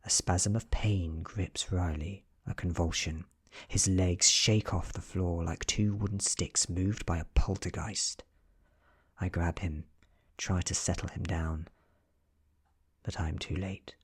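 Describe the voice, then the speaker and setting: A man with a British accent giving a soft-spoken, near-whispered ASMR reading of a horror story, close to the microphone. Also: sits low, fairly even intonation, slow pace, slightly rough. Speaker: narrator.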